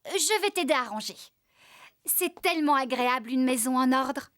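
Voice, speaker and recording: A woman voicing a bossy, tidy and caring farmer in a children's cartoon, with a brisk, expressive manner. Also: high-pitched. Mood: bossy, exasperated. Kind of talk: French children's cartoon dubbing.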